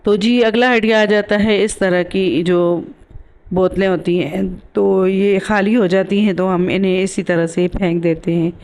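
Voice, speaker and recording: A woman's voice: mid-range voice, steady tone, measured pace, a little mellow. A woman with a sore throat giving a casual voice-over for a DIY craft tutorial as the host.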